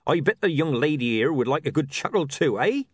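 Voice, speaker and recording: A man's voice: on the high side, lively intonation, fairly quick, slightly rough, no room sound, pitched above the performer's own voice. A man doing a pushy, jovial prankster. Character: cheerful middle-aged man, pushy joker.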